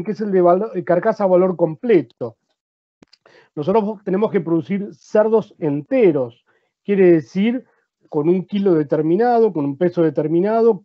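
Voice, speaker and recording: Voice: mid-range voice, highs cut off. A speaker with an Argentine accent, a veterinarian giving a practical seminar presentation who explains step by step and asks the audience rhetorical questions.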